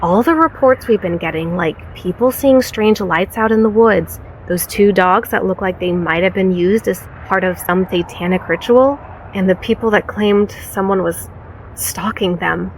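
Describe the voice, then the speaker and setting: An actress playing a serious, weary small-town sheriff in an audio drama, measured and authoritative. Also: female voice, mid-range voice, close to an everyday voice, poor audio. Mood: thoughtful, serious. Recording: faint vehicle ambience noise.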